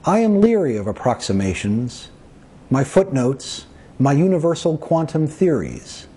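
A man recites his own poetry slowly, in short, deliberate phrases with pauses between them. His voice sits a little high for a man, with a soft, mellow tone and a husky grain. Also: sing-song. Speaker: poet. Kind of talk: poetry reading of own work.

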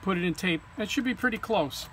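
A man with a fairly high-set voice walks through a technical procedure. He is plain-spoken and informal, going one step at a time like a technician showing a learner. There is noticeable ambient noise behind him. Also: lively intonation, fairly quick, a bit bright. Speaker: technician demonstrating equipment calibration. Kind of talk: informal hands-on tutorial. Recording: clear background hum or ambient noise.

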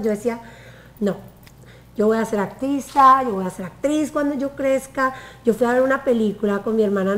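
A woman in her forties from Colombia, speaking Spanish with a Colombian accent, telling her life story as a guest in a casual interview, talking with her hands. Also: on the high side. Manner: animated, gestures with her hands. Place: bright living room with a sofa and water view.

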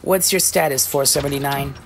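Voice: mid-range voice, a little fast, creaky, noisy background. A performer with a woman-like voice acting out an easygoing, dutiful werewolf police chief in scripted ASMR roleplay.

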